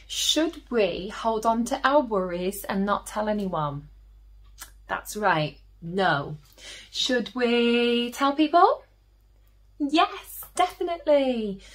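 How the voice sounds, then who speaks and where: Animated, slow-paced woman reading to children. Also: on the high side. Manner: gently questioning, addressing young listeners. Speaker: children's storyteller, teacher. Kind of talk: expressive read-aloud for young children.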